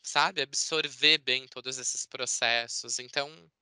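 Male Brazilian presenter, conversational and explanatory, very forward bright tone, clean and smooth. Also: medium pitch, steady tone, slow pace, no room sound. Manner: chatty, explanatory, often seeking confirmation with tag words. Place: indoor room on a video call. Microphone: video call microphone.

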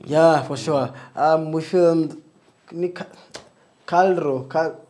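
A young man with a Kenyan accent, a filmmaker guest speaking in a measured way on a lapel mic. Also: a little high, fairly even intonation, a crowd in the background. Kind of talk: casual interview talk. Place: small indoor studio.

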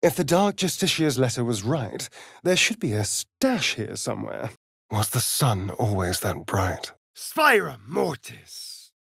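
A man's voice: medium pitch, sing-song, unhurried, gravelly, a bit bright, husky, dry recording, studio quality, exaggerated voice. A man playing a vain, sardonic vampire rogue in a fantasy video game, with an arch, flamboyant drawl. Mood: wry, then incanting.

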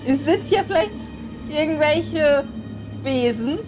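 Female tabletop player, unhurried, high voice with lots of movement, playful banter over music. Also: animated. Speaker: tabletop role-play player. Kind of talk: casual playful role-play banter. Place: home setup on a group video call.

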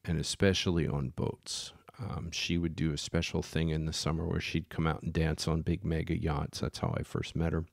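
A man's voice: sits low, creaky, no echo, studio quality. A man telling casual stories about sailing charters, rambling with many fillers and restarts. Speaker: yacht charter captain. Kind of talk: casual storytelling. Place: Los Angeles.

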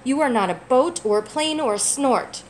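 A woman's voice: high-pitched, animated, moderate pace, a little hiss or hum, voice pushed up. A woman voicing a little baby bird who is searching for its mother, calling out in a plaintive, pleading way. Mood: relieved.

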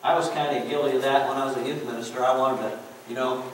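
A middle-aged man preaching to his congregation in a relaxed, chatty way, dropping in asides. His voice rings around a very echoey church, caught from some distance by the mic, with a faint hiss underneath. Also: mid-range voice, fast. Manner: folksy, conversational preaching with chuckles and "you know". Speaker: pastor. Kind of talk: sermon. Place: church sanctuary.

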